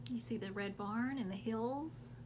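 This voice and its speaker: A woman's voice: a little high, measured pace, noisy background. A woman hosting a children's storytime, reading picture books aloud expressively and explaining things simply for young listeners.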